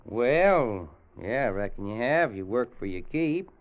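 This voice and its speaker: An actor playing a plain-spoken, kindly rancher in a radio western, folksy, with an American Western drawl. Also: male voice, medium pitch, very animated, measured pace. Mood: easygoing. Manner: folksy, plain, hesitant at times. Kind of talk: old-time radio western narration and acting. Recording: dull vintage radio recording with music.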